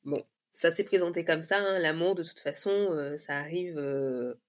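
A woman in her thirties giving a candid personal testimony in conversation, often pausing mid-phrase as she searches for words. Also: deep voice. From Guadeloupe. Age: 30s.

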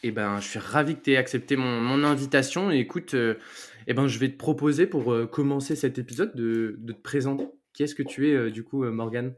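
A man hosts a podcast and interviews a guest in a loose, casual way. He hesitates often and repeats words, at a middle pitch for a man. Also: fairly quick. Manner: hesitant, many fillers and repeated words when unscripted. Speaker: podcast host. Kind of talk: scripted intro, then casual interview.

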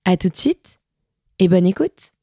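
A woman hosting an interview podcast, asking gentle, measured questions in a café-restaurant. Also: on the low side, big pitch swings, husky, dry recording, studio quality. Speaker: podcast host.

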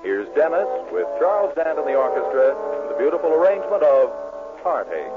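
A male American announcer from the golden age of radio delivers polished promotional copy. His voice sits fairly high and moves with lively swings, on a tinny recording with music. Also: fairly quick. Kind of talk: 1940s scripted radio announcing.

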